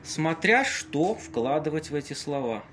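A man acts out a ghostly legendary seducer trapped in a tramp's body for an audio play. His voice sits fairly high for a man and moves slowly. The speech is florid and lamenting, full of haughty grandeur. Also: very animated, fairly bright tone, slight background noise. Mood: aggrieved, wistful. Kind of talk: amateur audio play acting.

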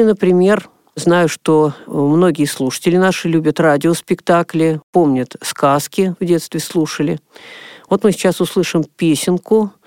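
A man hosting a radio music programme, introducing old songs in a measured, formal way. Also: fairly high pitch, a little mellow, clean tone, no room sound, studio quality.

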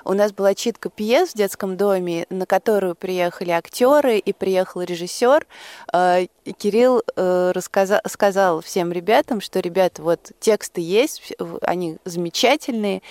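A woman speaking unscripted as a guest in a radio conversation, halting and stretching words as she thinks. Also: medium pitch, a little mellow, no room sound. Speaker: guest, project organiser.

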